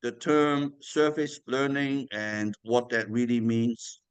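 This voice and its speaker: A man hosting an interview in a smooth, resonant, mid-pitched voice, recorded close and dry. He asks friendly questions that wander, full of "you know" fillers. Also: slow pace, no room sound. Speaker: interview host.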